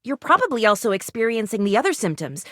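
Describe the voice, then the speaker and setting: An actress voicing an earnest, anxious, bookish would-be doctor in a video game, with quaint, wordy, theatrical delivery. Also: female voice, a little high, very animated, quick pace, fairly bright tone, no echo, clean studio sound. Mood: worried, grave.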